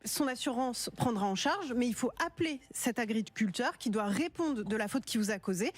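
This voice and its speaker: A woman's voice: on the high side, animated, moderate pace. Methodical woman lawyer giving advice. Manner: clear, methodical. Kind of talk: expert legal advice.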